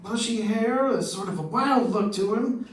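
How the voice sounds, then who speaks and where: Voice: medium pitch, normal speed, lightly acted. An actor playing a hesitant, obliging hotel desk clerk in an old-time radio detective drama. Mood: hesitant, helpful. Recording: echoey room, distant mic.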